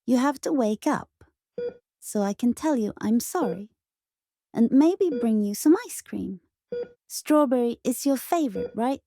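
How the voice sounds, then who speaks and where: Woman acting a high, mellow, guilt-ridden warplane girl. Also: animated, measured pace, a little hiss or hum. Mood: pleading, remorseful. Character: guilt-ridden, gentle female warplane with bloody past. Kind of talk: audio drama acting.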